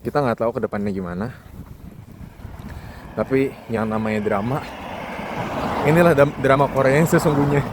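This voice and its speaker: Casting: young Indonesian male vlogger, mid-range voice, informal chatty commentary, clear traffic and outdoor noise behind him. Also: medium pitch, moderate pace, long pauses. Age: young adult. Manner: informal, conversational, addresses the audience with questions. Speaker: vlogger, foreign student. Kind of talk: casual monologue commentary to viewers.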